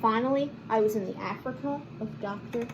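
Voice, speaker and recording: A woman reading a picture book aloud to children in an expressive, animated manner, with chatty asides and simple explanations. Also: a little high, fairly quick, background noise. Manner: animated, acts out lines and explains words to children. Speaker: children's read-aloud host.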